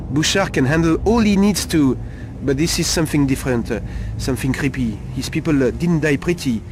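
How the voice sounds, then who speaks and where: An actor playing a sleazy, nervous Paris street informant in a video game cutscene, talking in a halting, insinuating way. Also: male voice, on the high side, noisy background, close to an everyday voice. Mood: wary, uneasy.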